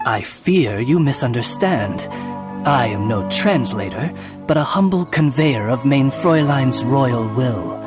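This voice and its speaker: A man voicing a dignified, courtly familiar in a video game, formal, measured and deferential to his lady. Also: mid-range voice, animated, natural voice. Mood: courteous. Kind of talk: video game character acting. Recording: game music behind the voice.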